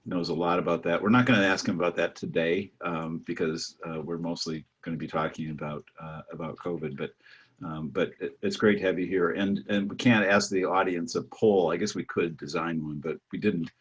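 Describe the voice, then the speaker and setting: Older male host, low raspy voice, halting, filler-heavy video-call moderation. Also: fairly even intonation, dull audio. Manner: halting, many filler sounds and restarts. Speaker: host, physician moderating a webinar. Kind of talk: conversational webinar moderation.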